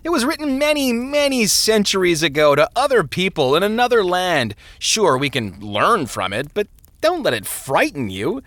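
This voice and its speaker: A man's voice: sits high, very animated, normal speed, fairly bright tone, no room sound, pitched above the performer's own voice. A man voicing a smug, falsely genial parson in an audiobook story, talking down in a condescending way. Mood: amused, dismissive. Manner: condescending, hesitating mid-phrase.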